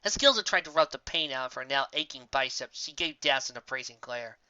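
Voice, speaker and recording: A man's voice: mid-range voice, animated, fairly quick, sharp tone, lo-fi sound. A man reading scripted story narration, now and then stumbling and rereading a word. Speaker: fan fiction reader.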